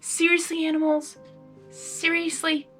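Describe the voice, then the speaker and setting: A woman doing a casual read-aloud with commentary, expressive and often breaking off into exclaiming asides. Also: extremely high pitch, a little slow, soft background music. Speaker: reader of fan fiction, reacting as she goes.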